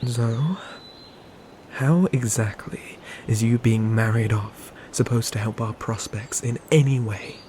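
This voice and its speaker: A man playing a dutiful, ambitious knight and protective brother in a scripted medieval fantasy audio roleplay, with measured, formal phrasing. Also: medium pitch, warm tone, a little hiss or hum, lightly acted. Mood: exasperated.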